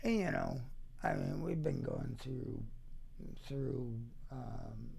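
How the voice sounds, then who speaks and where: A man chatting casually as an interview guest, halting and full of wisecracks, with some faint noise behind him. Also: medium pitch, wildly swooping pitch, gravelly, crowd noise. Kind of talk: casual chat.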